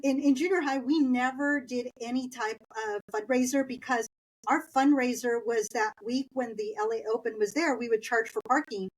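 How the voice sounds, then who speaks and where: A woman's voice: a little high, steady tone, normal speed, rough recording. A middle-aged woman from East Los Angeles, whose first language is Spanish, telling stories from her school years as an interview guest. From East Los Angeles, Southern California. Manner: chatty, anecdotal, laughs while telling stories. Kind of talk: conversational interview storytelling.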